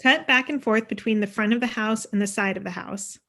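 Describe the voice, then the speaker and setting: A young woman with a medium-pitched voice narrates a screenplay. She reads scene headings and action lines in a scripted style over a video call. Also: fairly quick, pushed and bright. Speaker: stage direction reader.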